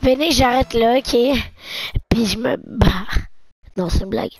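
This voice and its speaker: High-voiced woman with a sore throat. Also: unhurried, slightly rough, faint music behind. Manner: excitable, joking, chatty. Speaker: esports commentator. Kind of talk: casual game commentary.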